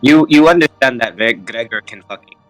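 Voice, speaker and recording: A man chatting casually and informally in an animated way as a player in a tabletop game. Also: mid-range voice, a little fast, dry recording, soft background music, rough recording.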